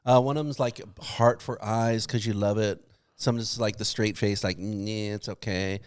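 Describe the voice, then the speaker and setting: A man's voice: lowish voice, fairly even intonation, normal speed, clear, resonant tone. A man co-hosting a podcast in casual snack-tasting chat, talking in an informal, blunt way. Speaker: podcast co-host.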